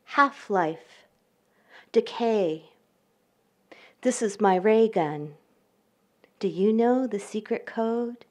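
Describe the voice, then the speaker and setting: A woman poet reading her work aloud at a live event, with measured pacing and careful pauses between lines. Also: medium pitch, darkish tone. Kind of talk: poetry reading with spoken introductions.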